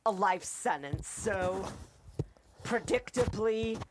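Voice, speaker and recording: A woman's voice: medium pitch, lively intonation, takes it slowly, background noise. A woman taking part in a casual review discussion as a co-reviewer. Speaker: co-reviewer on a talk show.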